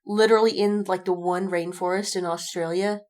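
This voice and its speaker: A woman's voice: on the low side, a little fast. Rambling, casually sweary woman podcaster. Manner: animated retelling with quoted reactions. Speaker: podcast co-host. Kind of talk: casual fandom chat.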